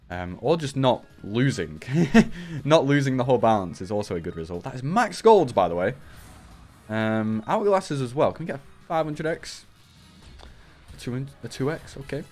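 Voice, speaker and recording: A young man, hoarse from a cold, giving live commentary on a slots stream through a headset and jumping up loudly at big wins. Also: mid-range voice. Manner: shouts and rises sharply at big wins. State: raspy from a cold.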